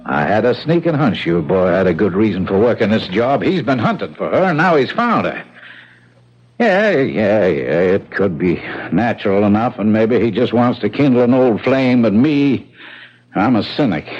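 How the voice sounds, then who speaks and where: An actor playing a cynical, wisecracking investigator with clipped colloquial talk in 1970s radio drama style. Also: male voice, medium pitch, expressive, normal speed, a little mellow, close to an everyday voice. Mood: brisk, suspicious. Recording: muffled 1970s radio broadcast.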